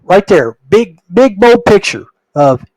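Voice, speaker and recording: A man's voice: high voice, big pitch swings, takes it slowly, darkish tone, dry recording, rough recording. An older man hosting a podcast in a casual, folksy way, talking straight to his listeners. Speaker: podcast host.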